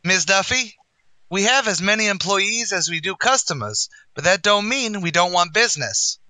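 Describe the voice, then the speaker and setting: Male actor for sardonic barroom manager in old-time radio comedy; animated, very sharp tone, ungrammatical patter. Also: high voice, moderate pace, no echo, full character voice. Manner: brash, sardonic, mangles his grammar. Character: wisecracking, malaprop-prone male manager of a shabby tavern. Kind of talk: 1940s radio comedy acting.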